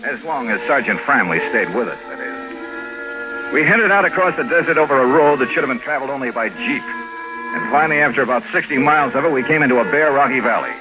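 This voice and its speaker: An actor playing a brisk, impatient, wry American insurance investigator in a 1950s radio drama, clipped and probing as he questions and narrates. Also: mid-range voice, expressive, music in the background, lightly acted, low-quality recording. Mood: wry narration. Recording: vintage 1950s radio recording.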